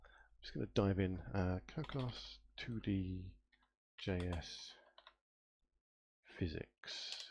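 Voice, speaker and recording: A man with a somewhat low voice and a gravelly edge, a little nasal from a cold. He talks through his game code on a live stream in a relaxed way, muttering, trailing off and spelling things out as he hunts through it. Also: slow pace, pauses often, slight background noise. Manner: muttering to himself, trailing off and spelling words out while searching. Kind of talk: casual thinking-aloud coding stream.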